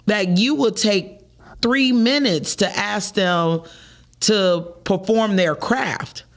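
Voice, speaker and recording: A man with a Detroit accent co-hosting a morning podcast, talking in an animated, chatty way and laughing often. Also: high voice, takes it slowly. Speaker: podcast co-host. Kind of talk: casual chat.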